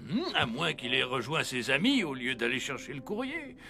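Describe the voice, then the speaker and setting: Creaky-voiced jolly old Father Christmas. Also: medium pitch, very animated, normal speed, loud music behind the voice. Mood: preoccupied. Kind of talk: animated cartoon acting in French.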